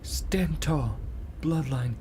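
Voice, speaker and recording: A gentle, proud royal mother, put on by a man in a raised pitch. The delivery is soft, solemn and encouraging, game dialogue over clear background noise. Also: extremely slow. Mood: tender, solemn.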